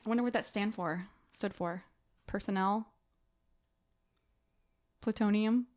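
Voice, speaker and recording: A woman co-hosting a podcast in casual book-discussion chat, animated and jokey in manner. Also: medium pitch, unhurried. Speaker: podcast co-host.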